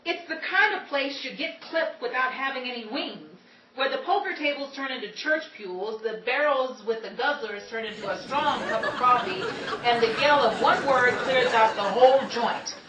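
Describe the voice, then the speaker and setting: A woman acts out a streetwise, fed-up young dame from a 1930s radio comedy. Her high voice snaps out sassy, theatrical wisecracks in period slang. The recording is roomy and distant, with noise and a crowd behind. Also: expressive, moderate pace, fairly bright tone. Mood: exasperated wariness. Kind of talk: 1930s screwball comedy radio-play acting.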